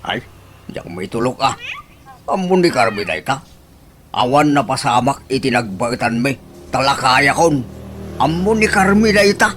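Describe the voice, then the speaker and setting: A man's voice: mid-range voice, lively intonation, normal speed, long pauses, fairly bright tone, slight background noise, natural voice. A man playing an indignant villager in an Ilocano comedy radio drama. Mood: exasperated. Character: indignant village man protesting his innocence.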